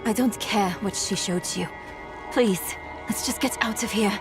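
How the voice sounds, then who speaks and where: A woman's voice: mid-range voice, measured pace, close to an everyday voice. A woman playing a young, stubborn, worried daughter in a fantasy game cutscene, urgent and insistent. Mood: urgent, pleading. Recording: cinematic music behind.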